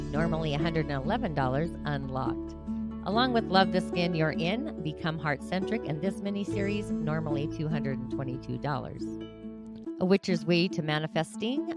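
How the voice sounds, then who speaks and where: Female host, deep voice, steady upbeat promo read, loud plucked guitar underneath. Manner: listing offers in an upbeat, even cadence. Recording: loud guitar music bed. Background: loud plucked guitar music.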